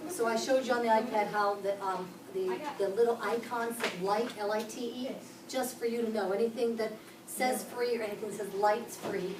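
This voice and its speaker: A woman from Pittsburgh teaches a class, walking through each step and putting questions to the children. Her voice sits a little high. She is picked up from across an echoey classroom with steady background noise. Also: moderate pace, recorded from a distance. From Pittsburgh, Pennsylvania. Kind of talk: classroom instruction. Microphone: camera across the room.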